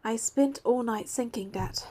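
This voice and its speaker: An earnest young heroine of a story game, with a fairly high, softly mellow voice. She speaks and narrates as a determined hunter, with music underneath. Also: unhurried. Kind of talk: scripted game dialogue and first-person narration.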